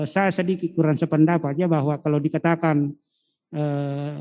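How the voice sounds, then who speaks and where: A middle-aged man, a history teacher lecturing students in a fatherly way and pausing on fillers as he thinks. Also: on the high side, darkish tone. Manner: fatherly, calls listeners child, hesitant fillers. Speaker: history teacher, guest speaker.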